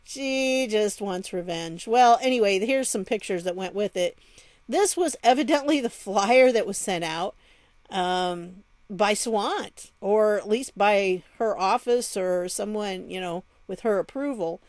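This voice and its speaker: Female vlog host, mid-pitched, conversational and wry, casual commentary on current events, recorded dry and close. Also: unhurried, darkish tone, dry recording. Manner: conversational, sardonic, rhetorical questions. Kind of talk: casual news commentary, reading statements aloud.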